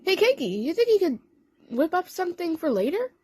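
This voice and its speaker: A woman's voice: extremely high pitch, very animated, a little fast, dark tone, voice pushed up. A woman voicing an upbeat, reassuring young girl in a cartoon fan story. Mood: cheery, reassuring. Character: upbeat, encouraging young girl, dollhouse leader.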